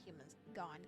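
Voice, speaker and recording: A woman streamer chatting casually and playfully as she plays a visual novel, giggly and cutesy in her phrasing. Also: lowish voice. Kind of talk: casual livestream chat while playing a visual novel. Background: music.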